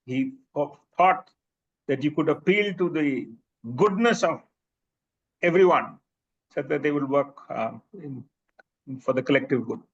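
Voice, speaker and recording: Presenter: Indian-accented male professor, fairly high voice, reflective with frequent ums, slightly muffled recording. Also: unhurried, pauses often, slightly rough. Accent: Indian English. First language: Bhojpuri. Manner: thoughtful, many fillers and restarts. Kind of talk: academic Q&A answer. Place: seminar room.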